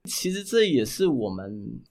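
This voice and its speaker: A man's voice: medium pitch, sing-song, measured pace, gravelly, darkish tone. A man with a Taiwanese Mandarin accent talking casually as a podcast guest, explaining things and often repeating words for emphasis. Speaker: guest, voice actor and children's audio drama writer.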